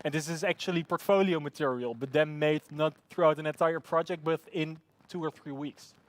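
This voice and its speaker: An upbeat man hosts a live event, pausing often on fillers. His voice sits a little high, and the sound is dry and close. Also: steady tone, no room sound. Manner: upbeat, hesitant with many fillers. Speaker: event host.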